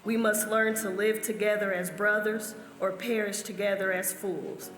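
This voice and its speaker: A woman reads quotations aloud at a middle pitch. Her voice rings in a reverberant hall with soft music underneath. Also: fairly even intonation, moderate pace. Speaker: church member reading.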